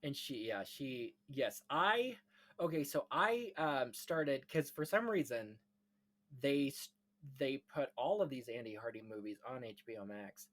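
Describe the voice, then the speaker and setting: A man's voice: mid-range voice, expressive, a little slow, fairly bright tone. A man hosting a casual film history discussion in an earnest, informative, chatty way. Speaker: podcast host, film history enthusiast.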